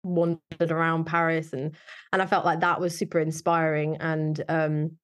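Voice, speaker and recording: A woman's voice: sits low, little pitch movement, moderate pace. A British woman talking casually and chattily as a guest in an interview, in an informal conversational way. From United Kingdom. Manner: chatty, informal, frequent "like" and "you know". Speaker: wedding photographer, interview guest.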